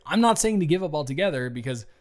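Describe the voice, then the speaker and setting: A man's voice: on the high side, expressive, quick pace, close to an everyday voice. A man playing a fond, chatty office boss in his early thirties in a romantic audio roleplay, speaking earnestly and playfully straight to the listener. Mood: sincere, encouraging. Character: warm, earnest thirty-three-year-old male office boss, fond and encouraging. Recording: background music. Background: music.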